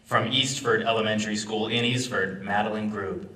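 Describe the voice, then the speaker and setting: A man's voice: on the low side, steady tone, moderate pace. A man making announcements and calling out names at a ceremony. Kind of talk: announcements and roll call of names. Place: large echoey indoor ceremony room. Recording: echoey, distant, loud noise.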